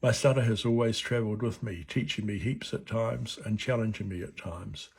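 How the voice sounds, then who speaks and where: A man in his seventies from New Zealand with a New Zealand accent who stutters at times, gently reading a personal reflection. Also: fairly low pitch, steady tone, normal speed, creaky, husky. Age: 70s. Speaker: board chair of a stuttering charity. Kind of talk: personal reflection read aloud.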